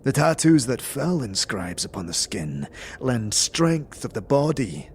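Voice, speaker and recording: A man's voice: medium pitch, very animated, unhurried. A man voicing a proud, scarred alien soldier who speaks in grave, formal, archaic phrases in a fantasy roleplay. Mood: solemn, reverent. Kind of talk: tabletop-style fantasy roleplay. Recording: faint background noise.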